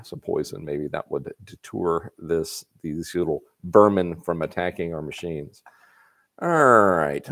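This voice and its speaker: A man, hosting a live Q&A from a workshop and giving casual, folksy repair advice. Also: low voice. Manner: folksy, chatty, reads questions aloud and adds anecdotes. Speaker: parts company host answering viewer questions. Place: workshop garage with motorcycles, indoors.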